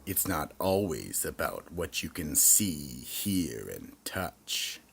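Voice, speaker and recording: A man narrating scripted horror as storyteller and announcer, slow, theatrical and ominous. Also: extremely low pitch, very animated, vocal fry, faint music behind.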